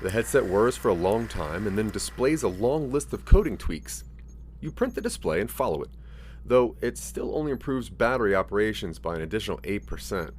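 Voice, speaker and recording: Low voiced guy reading an audio drama story, really animated pitch, noticeable hum and noise behind him. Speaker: narrator. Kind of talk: scripted second-person audio drama narration.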